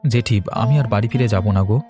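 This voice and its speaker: A man playing a helpful, concerned young villager in a cartoon, earnest and gently chiding. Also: on the low side, fairly quick, over loud music, close to an everyday voice.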